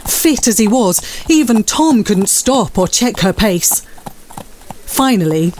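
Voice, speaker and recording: A woman's voice: medium pitch, a little mellow, a little hiss or hum. A woman narrating a classic story as scripted, dramatised literary storytelling.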